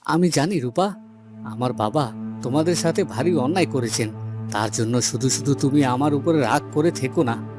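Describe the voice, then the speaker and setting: A man voicing an earnest, resolute young son of a village headman, in melodramatic cartoon style with deliberate delivery. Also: mid-range voice, natural voice. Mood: earnest, tender. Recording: background music under the voice.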